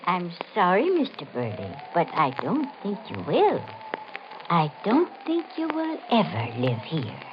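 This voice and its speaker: A woman's voice: lowish voice, huge pitch swings, measured pace. An actress playing an eerie, insistent woman obsessed with her house, pleading and ominous in a 1950s radio mystery style. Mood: quietly ominous. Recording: muffled, noisy 1950s radio recording.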